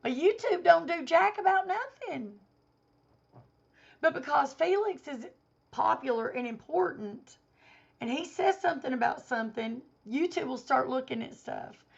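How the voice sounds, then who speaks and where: A woman vlogger giving an indignant opinion rant to camera, hoarse because she has a cold and is losing her voice. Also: high voice, expressive, unhurried, muffled recording. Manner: indignant, emphatic.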